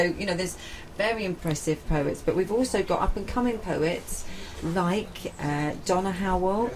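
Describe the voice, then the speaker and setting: A chatty woman hosting a community radio interview, her voice fairly low. Clear background noise runs under her speech. She talks warmly and rattles through lists of names. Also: poor audio. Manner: chatty, warm, listing names briskly. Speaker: radio host and festival director.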